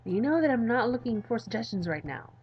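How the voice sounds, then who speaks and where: A woman voicing an exasperated adult male game developer in a visual novel, deadpan and condescending. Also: fairly high pitch, fairly quick, dull audio, soft background music. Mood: stern.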